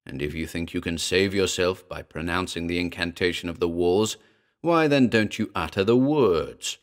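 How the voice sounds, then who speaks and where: A man with a very low voice reading the lines of a sharp, austere demon invoker who is really a boy, in a storybook. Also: normal speed, close to an everyday voice. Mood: imperious.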